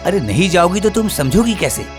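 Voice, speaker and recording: Animated meek village potter. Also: male voice, sits high, a little fast, over music. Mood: urgent, excited. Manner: hesitant, exclamatory. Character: meek, crafty poor village potter, harried husband. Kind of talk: cartoon story voice acting.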